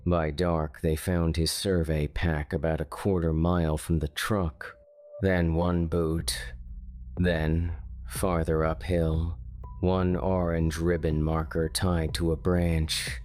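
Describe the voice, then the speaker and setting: Very deep, slow male horror storyteller. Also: little pitch movement, a little mellow, no room sound. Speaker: narrator. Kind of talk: scripted true-crime horror narration.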